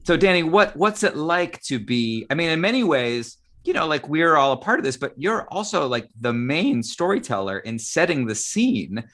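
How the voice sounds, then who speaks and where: A man's voice: fairly high pitch, sing-song, moderate pace, few pauses, fairly bright tone. A man hosting a casual discussion, speaking conversationally and building his thoughts in short pieces. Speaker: theatre company host. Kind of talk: casual live online discussion.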